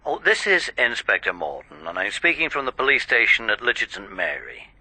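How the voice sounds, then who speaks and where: An actor playing a businesslike, polite police inspector in a radio drama, brisk, official and courteous. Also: male voice, fairly low pitch, big pitch swings, bright, forceful tone, slightly rough, heavily acted.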